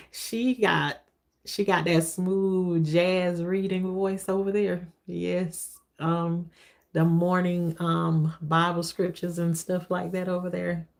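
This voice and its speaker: A woman with a deep voice chatting casually as a livestream host, testifying and repeating phrases for emphasis. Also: flat delivery, slow, vocal fry.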